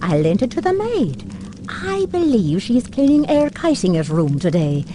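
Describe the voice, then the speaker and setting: A woman's voice: a little high, very animated, moderate pace, background noise. An actress playing a motherly, gossipy older servant woman, speaking wistfully in old-fashioned phrases in a game cutscene. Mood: helpful. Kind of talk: dramatic game cutscene acting.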